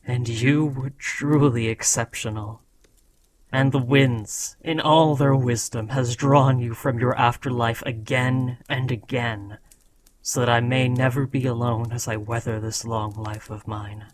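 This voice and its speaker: A man's voice: mid-range voice, fairly even intonation, unhurried, slight background noise, lightly acted. A man voicing an ancient, proud dragon who scolds a beloved rider in a solemn, formal, dramatic fantasy roleplay. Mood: solemn, tender.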